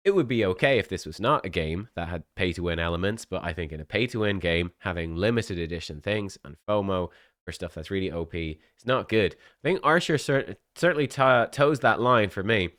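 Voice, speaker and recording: Man, sick and sniffly, casual game commentary, rambling fillers, lowish voice, dry close mic. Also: animated, fairly quick, fairly bright tone, no room sound. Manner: chatty, full of fillers and restarts. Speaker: gaming content creator.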